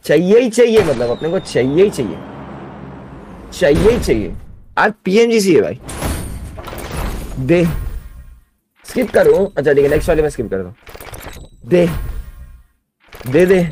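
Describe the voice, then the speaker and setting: Excitable young male gaming streamer. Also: on the high side, a little slow, pauses often, slight background noise. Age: young adult. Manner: chatty, excitable, talks to viewers as guys. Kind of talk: excited live reaction stream. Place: gaming room at a desk.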